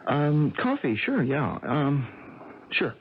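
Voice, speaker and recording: An actor playing an evasive, uneasy college-age son in a radio drama, halting and distracted, often stammering. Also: male voice, a little high, big pitch swings, full character voice. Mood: distracted, hesitant. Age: young adult. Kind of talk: 1980 American radio drama acting. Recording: muffled, faintly noisy old radio broadcast.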